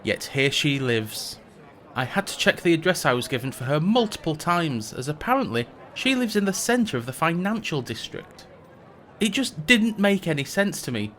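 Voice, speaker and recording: A man's voice: a little high, big pitch swings, normal speed, fairly bright tone, slight background noise. A man playing a sardonic reluctant mage host. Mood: wry. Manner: dry sarcastic asides. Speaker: podcast host. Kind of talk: scripted comedic narration.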